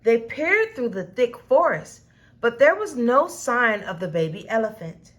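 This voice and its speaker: A high-pitched woman narrates a children's story. Her delivery is slow and deliberate, with very animated intonation that rises and falls expressively. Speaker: storybook reader. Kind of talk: children's read-aloud narration.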